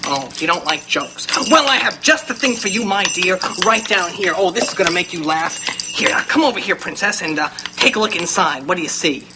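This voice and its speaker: A woman's voice: fairly low pitch, animated, fairly quick, natural voice. Woman voicing an eager bumbling magician puppet, noisy room. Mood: eager, showy. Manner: showy, repetitive patter. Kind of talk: children's puppet show. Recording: loud clattering background noise.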